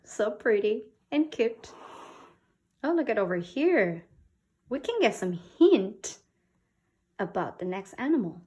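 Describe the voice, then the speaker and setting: Slow, high-voiced woman reading to children. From South Korea. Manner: simple, expressive, child-directed talk with questions and sound effects. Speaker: children's English read-aloud teacher. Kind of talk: interactive picture-book read-aloud for young children.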